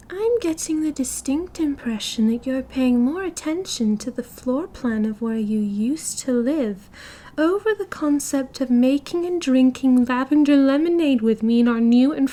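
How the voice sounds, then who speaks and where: A woman's voice: high voice, animated, unhurried, warm tone. A British woman playing a sweet, giggly, oblivious yandere kidnapper who rambles and coos in an ASMR audio roleplay. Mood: chatty, teasing. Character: oblivious, cheerful, doting yandere kidnapper girl. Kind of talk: ASMR audio roleplay, soft casual monologue.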